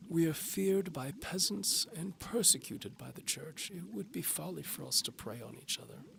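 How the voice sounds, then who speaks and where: A man's voice: a little high, expressive, normal speed, vocal fry, a bit bright, slight background noise, voice pushed up, full character voice. A man voicing a pompous, snappish old duke and alchemist in an audio drama. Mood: haughty, dismissive. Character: stern, haughty old duke and alchemist, male.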